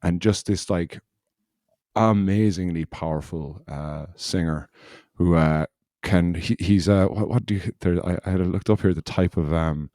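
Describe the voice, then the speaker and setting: A man with a deep, mellow voice, hosting a podcast in easy, casual chat. He wanders through his story and circles back on himself. The sound is dry and close, with no room around it. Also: measured pace, dry recording. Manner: rambling, loose storytelling that circles back on itself. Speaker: podcast host.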